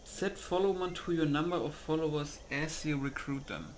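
A man's voice: a little high, normal speed, slight background noise. A man doing casual game commentary, muttering to himself as he reads settings aloud and comments loosely. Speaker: gamer recording a mod setup.

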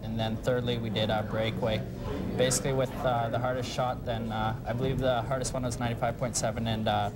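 A young man giving casual, understated sports interview answers with a halting delivery full of uhs. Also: medium pitch, little pitch movement. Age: young adult. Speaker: hockey player being interviewed. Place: sports grill, indoors, at a table. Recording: loud background noise, dated TV sound. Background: loud ambient noise.

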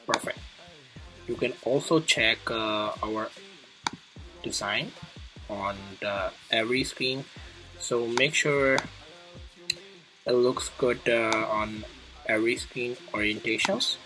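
A man presenting a casual coding tutorial, thinking aloud in a halting way as he demonstrates each step. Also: mid-range voice, rough recording. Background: music clearly playing behind the voice.

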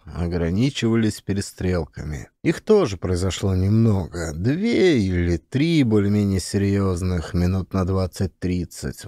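Mellow, expressive male audiobook narrator. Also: low-pitched, no room sound, clean studio sound.

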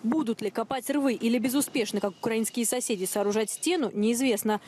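A young female correspondent voices a scripted television news report. Her voice sits high, and her delivery is brisk and pointed. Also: a little mellow, slight background noise.

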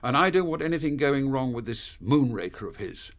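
Clipped, animated British spy chief played by a man. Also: medium pitch, fairly quick, close to an everyday voice. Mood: brisk. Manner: clipped, commanding. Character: authoritative, gruff male British spy chief. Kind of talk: radio drama acting.

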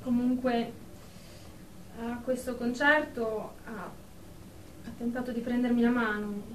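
An echoey, slightly distant radio drama recording. A woman acts out a snooty, prim office lady with a fairly high voice, clipped and superior, quick to take offence. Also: fairly even intonation. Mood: indignant. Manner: superior, clipped, indignant, formal. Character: haughty, prim, easily offended female office professional in therapy. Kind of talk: Italian radio drama acting. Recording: echoey room, distant mic, background noise.

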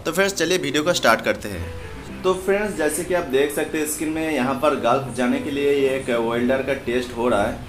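A man's voice: medium pitch, brisk. A man gives an informal, repetitive voice-over explanation, addressing viewers as friends. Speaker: host of a welding advice video. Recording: roomy echoing voice-over with music behind. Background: background music.

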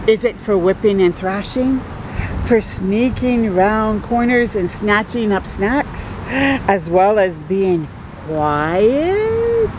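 Lady reading as curious little monster kid, slow storybook talk, noisy outdoor recording. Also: mid-range voice, lively intonation. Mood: eager, curious. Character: eager little boy monster admiring his dad.

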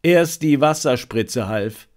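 A man's voice: medium pitch, lively intonation, slow. A male audiobook reader voicing a talkative, animal-loving zookeeper who explains things chattily. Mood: impressed. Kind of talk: audiobook character dialogue.

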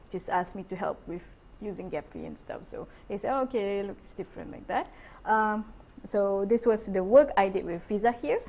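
Malaysian lady academic, rambly seminar talk, lots of ums and self-corrections, middle pitch. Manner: chatty, many fillers and self-corrections, laughs lightly. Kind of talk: informal academic talk over slides.

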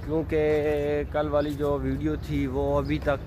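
Slow-speaking young Pakistani man vlogging outdoors. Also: a little high, completely flat delivery. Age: 20s. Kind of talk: casual vlog chat. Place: outdoors at a block-walled building site and lane. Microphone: phone at arm's length. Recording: clear outdoor background noise.

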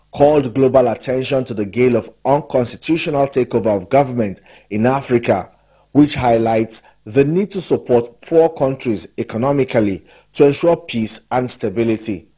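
Mellow, slightly husky Nigerian male reporter. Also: medium pitch. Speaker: news correspondent. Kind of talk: scripted news report narration.

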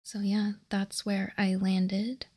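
Lady doing asmr, soft breathy whisper, really slow n calm, barely moves in pitch. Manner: calm, measured explaining. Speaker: host of a card game ASMR channel.

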